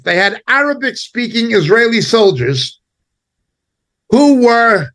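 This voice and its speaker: High-voiced man, indignant and emphatic. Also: a bit bright. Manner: indignant, emphatic, halting delivery in short phrases. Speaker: preacher and Bible teacher giving commentary. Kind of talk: impassioned spoken commentary.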